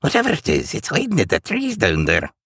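A chirpy Cockney elf on a military sleigh crew. The voice sits high, with a scratchy edge and a very animated delivery. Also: male voice, fairly quick, husky, dry recording, studio quality, heavily acted. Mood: wary. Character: cocky Cockney elf soldier, chirpy and cheeky. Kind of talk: military comedy audio story.

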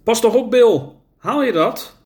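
Man doing an elderly cautious man, lively pitch, storybook voice. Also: normal speed. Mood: anxious. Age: older. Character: elderly man, his brother's careful partner.

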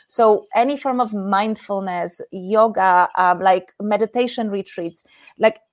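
A woman's voice: medium pitch, a little slow. A woman from Poland with a Polish accent, a guest in a podcast interview, speaking in an earnest, explanatory way and guiding listeners through simple exercises. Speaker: intimacy coach guest.

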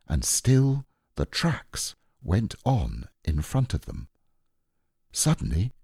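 A man narrating a children's storybook in a measured, expressive way. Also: lowish voice, slightly rough, dry recording, clean studio sound. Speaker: audiobook narrator.

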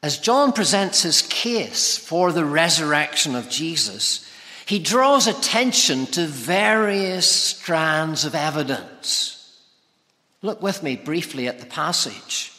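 A man preaching an expository sermon, speaking with emphatic, deliberate phrasing. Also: on the high side, lively intonation, bright, forceful tone. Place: large reverberant church or hall. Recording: hall reverb.

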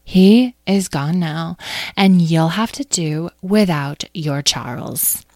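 A woman's voice: on the low side, darkish tone, dry recording, studio quality. Woman voicing a haughty, theatrical magical glowing creature. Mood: testy, defensive. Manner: drawn-out, theatrical, haughty delivery. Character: dramatic, testy, self-important magical glowing creature, grandly unrepentant.

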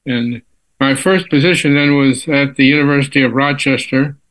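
A man in his nineties from Texas, a physicist guest in an interview, talking slowly with many fillers and restarts. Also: medium pitch, fairly even intonation, fairly bright tone, low-quality recording. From Texas, USA. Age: 90s. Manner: unhurried, halting with frequent fillers and restarts. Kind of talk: casual interview.

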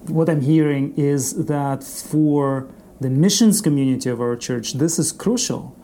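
A man hosting an interview podcast in a formal, scripted style, measured and courteous. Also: fairly high pitch, mellow, a little hiss or hum.

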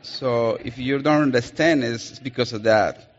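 A man's voice: medium pitch, measured pace, a little hiss or hum. A Colombian man preaching with a Colombian Spanish accent, playfully drawing answers from the congregation. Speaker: missionary preacher. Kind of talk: interactive sermon. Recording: muffled sound in a reverberant hall.

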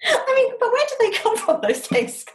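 A woman chatting casually as an interview guest, telling anecdotes in an animated way. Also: very high voice, normal speed. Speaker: interviewed novelist. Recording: echoey, roomy sound.

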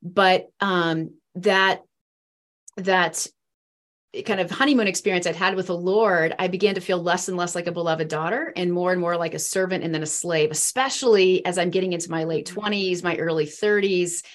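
This is a woman in her forties from the Midwest who talks at length about her life. She comes across as earnest and rambling, with plenty of fillers along the way. Her speaking voice sits a little low. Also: normal speed. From East Central Illinois. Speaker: guest. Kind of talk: faith testimony in a podcast interview.

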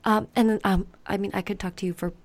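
A woman interviewer chatting casually and asking questions in an informal, slightly halting way. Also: medium pitch, fairly even intonation, no room sound.

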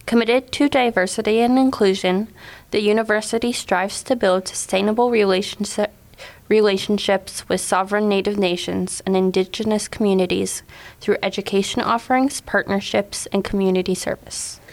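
A woman's voice: medium pitch, steady tone, normal speed. A young woman in her teens, reading statements and discussing as a podcast panelist. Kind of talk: scripted reading and hesitant discussion.

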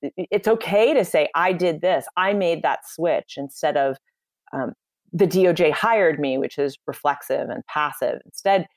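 A woman speaking with a fairly low pitch, confident and emphatic as she coaches on communication. Her voice is close and dry, with no room sound behind it. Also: moderate pace, dry recording. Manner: confident, emphatic, advice-giving. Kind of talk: podcast interview answers.

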